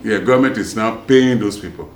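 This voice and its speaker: A man's voice: lowish voice, fairly quick, reverberant, slight background noise. A man in his seventies from Nigeria, with a mixed Nigerian and American accent, telling his story in a rambling way with many restarts. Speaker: interviewee, former prison officer. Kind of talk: casual street interview.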